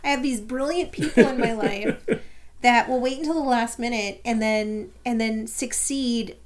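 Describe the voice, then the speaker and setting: Slightly hoarse animated woman podcaster. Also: fairly high pitch, moderate pace. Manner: animated, laughs often, quotes people in voices. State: a little hoarse. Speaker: podcast co-host. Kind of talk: casual chat.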